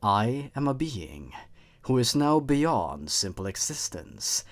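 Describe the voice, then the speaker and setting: A man voicing an ancient, all-powerful male time being who speaks in a slow, grave and menacing way in a horror story. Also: fairly low pitch.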